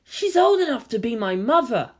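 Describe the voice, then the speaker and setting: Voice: mid-range voice, very animated, moderate pace, breathy edge, pitched above the performer's own voice. A narrator voicing an exasperated, sarcastic teenage boy hero in a fantasy story.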